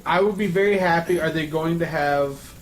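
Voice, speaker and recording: A man's voice: fairly high pitch, normal speed, low-quality recording. A man in casual chat with friends, asking questions and reacting. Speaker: panelist on a friends' discussion show. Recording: faint room noise behind.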